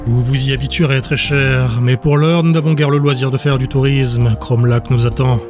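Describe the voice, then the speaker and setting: A man's voice: medium pitch, steady tone, normal speed, over music, lightly acted. Man voicing a suave, smug villain. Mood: smug, courteous. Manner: formal, courtly. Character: suave blond villain, polite, smug, grandly ambitious.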